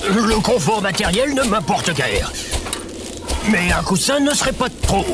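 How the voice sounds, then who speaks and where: A man playing an austere, achy old sage in an audio drama, slow and solemn with a gravelly voice. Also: sits high, very animated, music in the background. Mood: stoic, aching.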